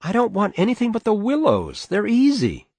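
A man's voice: sits high, huge pitch swings, normal speed, darkish tone, husky, no echo, pitched above the performer's own voice, heavily acted. A man voicing a long-suffering, persistent farm wife and mother in a storybook reading, pleading and aggrieved.

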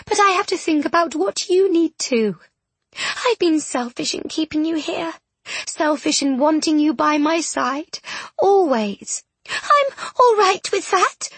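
A woman voicing a tender, grieving young woman in an audiobook, speaking in a hushed, emotional way. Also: high voice, expressive, unhurried, breathy edge, dry recording, voice pushed up, full character voice. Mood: tearful, tender. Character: tender, grieving young woman consoling her best friend. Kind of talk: audiobook character dialogue.

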